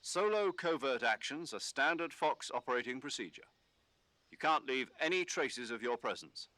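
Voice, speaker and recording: A military commander from a video game, calm and in full authority. His voice is crisp-edged and bright, recorded very dry. He briefs in a measured, instructive way. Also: medium pitch, no echo. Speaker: mission commander giving radio briefing. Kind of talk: scripted video game voice acting.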